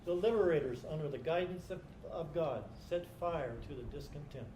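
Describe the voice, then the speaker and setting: A man's voice: fairly high pitch, a little fast, warm tone. A man performing as a reader in a staged literary dramatization. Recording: echoey live stage recording, faint noise.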